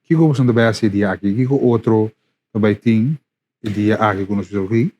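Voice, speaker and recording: A man's voice: fairly low pitch, highs cut off. A man hosting a live morning radio show, chatting casually with many fillers and repeats. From Curaçao. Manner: lively, repetitive, halting with fillers.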